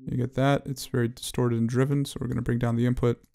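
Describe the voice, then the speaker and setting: A man giving an unscripted tutorial walkthrough, talking in a halting way as he thinks aloud. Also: mid-range voice, little pitch movement, gravelly, mellow, faint music behind. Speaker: audio plugin demonstrator.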